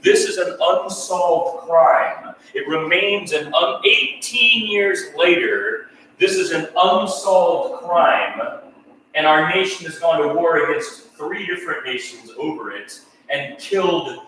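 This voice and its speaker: A man's voice: a little high, expressive, slow pace, bright, forceful tone. A man from India whose first language is Tamil, an artist speaking in a casual interview and stressing his points by repeating them. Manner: emphatic, repeats key points. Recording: echoey room, distant mic, faint hiss.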